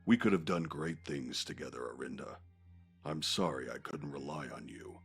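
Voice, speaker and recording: Man playing a very deep, gravelly imperious senator. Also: animated, normal speed, exaggerated voice. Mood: bitter, scornful. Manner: formal, stern. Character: imperious, bitter male senator. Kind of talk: audio comic dramatization. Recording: music behind the voice.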